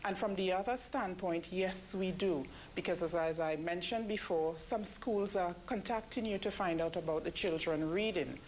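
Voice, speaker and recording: A woman giving measured, explanatory answers as an interview guest. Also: on the low side, a little mellow. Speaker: interview guest, event committee member. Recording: clearly noisy background ambience.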